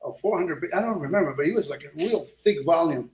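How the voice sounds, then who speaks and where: A man's voice: fairly high pitch, lively intonation, quick pace, a little mellow. A middle-aged man from Ecuador, a guest illustrator, chatting in a loose, rambling way with lots of restarts. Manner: rambling, many restarts and fillers. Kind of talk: casual interview chat. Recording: echoey room.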